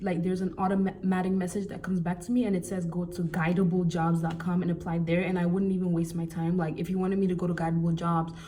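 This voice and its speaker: A young woman from Cameroon giving casual, animated job-hunting advice in a vlog, with exasperated asides. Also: fairly low pitch, recorded from a distance. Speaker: vlogger.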